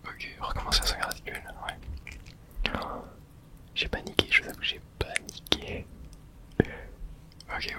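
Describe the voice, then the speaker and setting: A woman whispering casual, slangy ASMR commentary as she plays a horror video game.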